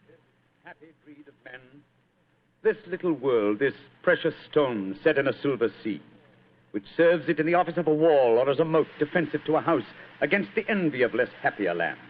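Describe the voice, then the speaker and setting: An actor playing a shrewd, commanding English detective in a radio drama, with a British accent and a theatrical, authoritative manner. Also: male voice, fairly high pitch, sing-song, normal speed. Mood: declamatory. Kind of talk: old-time radio drama acting. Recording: tinny, band-limited vintage radio recording.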